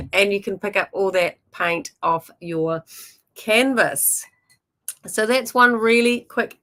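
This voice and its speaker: A woman with a New Zealand accent teaches painting in a loose, chatty way. She encourages her viewers and fills her talk with ums and you-knows. Her voice sits at a middle pitch for a woman. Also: fairly bright tone. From born in Germany, raised in New Zealand.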